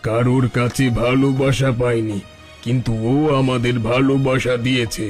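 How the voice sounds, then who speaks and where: An old bandit chief from a cartoon story, acted by a man. He is tender and repentant, speaking solemnly like a father to his men. His mid-pitched voice sits over soft background music. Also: little pitch movement, moderate pace, lightly acted. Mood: solemn regret. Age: older. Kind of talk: Bengali cartoon story dialogue.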